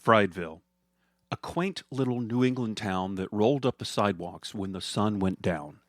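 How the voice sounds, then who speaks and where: A man's voice: lowish voice, moderate pace, no room sound, studio quality. A man narrating a scripted comedic story as a storyteller.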